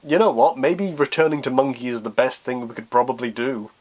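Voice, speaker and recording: A man's voice: mid-range voice, steady tone, fairly quick, darkish tone. A man talking casually in a Q&A vlog, informal and self-deprecating, thinking aloud as he answers. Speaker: YouTube creator answering viewer questions.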